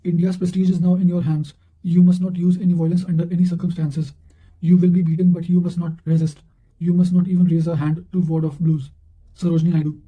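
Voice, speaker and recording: High-pitched man reading aloud, very mellow tone. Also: level tone, fast talker, poor audio. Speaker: narrator of study revision notes. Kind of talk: reading quotations aloud from notes.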